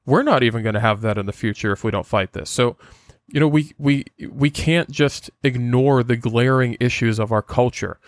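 Man, Portuguese first language, mid-pitched, earnest lecturing podcast host, dry close-mic sound. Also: normal speed, dry recording.

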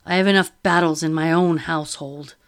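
A woman's voice: sits low, steady tone, a little fast, smooth. A weary, defiant merchant, as a woman reader plays him. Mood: firm. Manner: firm and formal.

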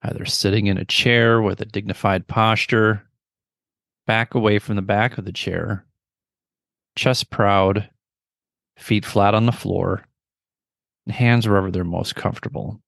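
A middle-aged man guides a meditation in a low voice with plenty of gravelly creak. His phrasing is measured, gentle and instructional, broken by pauses. The recording is dry and close, with very little room sound. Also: fairly even intonation, no echo.

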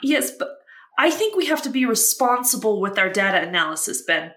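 A woman playing a measured, articulate talk show host who questions and challenges in a podcast drama. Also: fairly high pitch, sing-song, a bit bright, roomy sound. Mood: measured, challenging. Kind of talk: fiction podcast drama, broadcast interview.

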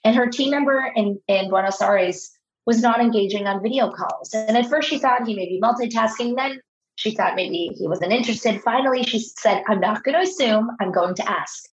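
Earnest woman telling workplace stories. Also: mid-range voice. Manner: earnest, anecdotal, repeats words when starting. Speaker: author and guest. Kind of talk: conversational interview storytelling. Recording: slightly dull, lo-fi audio.

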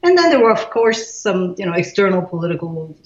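A woman's voice: on the low side, very animated, brisk, dull audio. Iranian-born woman in her sixties, roomy recording. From Iran. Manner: thoughtful, frequent fillers and restarts. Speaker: guest, leadership expert. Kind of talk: casual interview.

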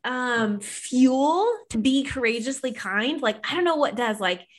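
A woman's voice: high-pitched, expressive, unhurried. A middle-aged woman talking casually and earnestly about faith as a podcast guest, with emphatic, informal phrasing. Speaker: podcast guest, Bible study author.

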